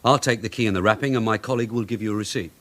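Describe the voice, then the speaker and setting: An actor playing a seasoned, streetwise English detective sergeant in a radio drama, brisk, bossy and wryly matter-of-fact. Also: male voice, fairly low pitch, a bit bright, slight background noise, natural voice. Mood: businesslike. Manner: wry, matter-of-fact, instructive. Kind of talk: British radio drama acting.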